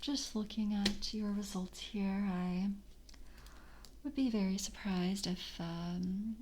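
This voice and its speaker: A woman playing a composed, seductive vampire coven member in an ASMR roleplay, speaking in a soft, breathy whisper with slow, formal, intimate delivery. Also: medium pitch, fairly even intonation. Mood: confiding, formal.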